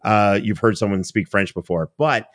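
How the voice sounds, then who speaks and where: A man's voice: lowish voice, moderate pace, dry recording. Chatty male podcast host, close sound. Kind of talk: conversational show hosting with banter.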